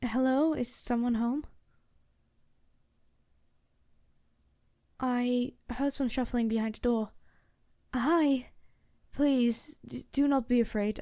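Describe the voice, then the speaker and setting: An actress plays a polite vampire woman passing as a humble traveling merchant. Her voice sits a bit high and comes through very dry, with no room sound. She speaks in an anxious, hesitant way, stumbling over words and apologizing as in an intimate fantasy audio drama. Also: dark tone, no room sound, natural voice. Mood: timid pleading. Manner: hesitant, stammering, courteous, apologetic. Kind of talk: fantasy roleplay audio drama, addressing the listener.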